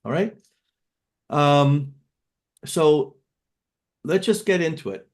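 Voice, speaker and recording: A man's voice: fairly high pitch, animated, slow pace. A man in his fifties who grew up in Canada, hosting an online seminar as a financial advisor and speaking in a casual, conversational way with frequent filler words. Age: 50s.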